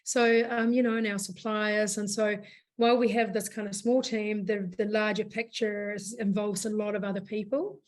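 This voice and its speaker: A woman with a hoarse voice, a business founder chatting in an interview, rambling with many fillers and restarts. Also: mid-range voice, little pitch movement, normal speed. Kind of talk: casual interview chat.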